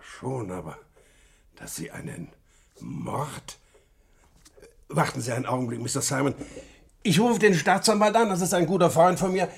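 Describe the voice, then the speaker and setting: An evasive, courteous lawyer in a radio crime drama. His voice is fairly high, husky and creaky, and it rises and falls a lot with unease. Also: expressive, moderate pace, long pauses, heavily acted. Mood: uneasy, hesitant.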